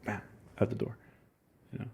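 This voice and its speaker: A man in his forties with a Scottish accent softened by years in Ohio, a musician chatting in an interview and telling rambling, enthusiastic stories with self-mocking laughs. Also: normal speed. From Scotland. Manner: rambling, enthusiastic storyteller who laughs at himself. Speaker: musician guest. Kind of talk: casual interview chat.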